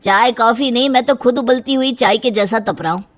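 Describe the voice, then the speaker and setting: A woman's voice: on the high side, brisk, natural voice. Woman voicing a whiny, overheated cartoon uncle. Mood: grumbling, pleading. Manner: whiny, dramatic complaining. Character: grumbling, heat-stricken adult uncle at a wedding. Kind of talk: Hindi animated comic story dialogue.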